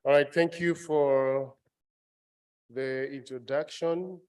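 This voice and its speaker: A man's voice: medium pitch, steady tone, slow pace, a little mellow, clean tone. A man facilitating a regional meeting, giving announcements with many fillers and restarts.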